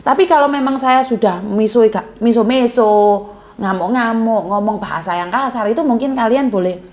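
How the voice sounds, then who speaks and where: A woman's voice: a little high, normal speed, darkish tone. Blunt outspoken woman on a call. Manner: blunt, outspoken, self-described coarse way of speaking. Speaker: host of a call-in advice channel. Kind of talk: live call-in chat. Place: Hong Kong.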